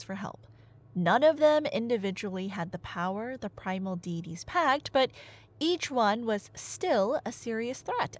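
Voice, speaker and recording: A woman performing an audio roleplay as a nerdy girlfriend, telling a story casually with playful asides to an unheard listener. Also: medium pitch. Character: chatty nerdy girlfriend excitedly retelling Greek myths.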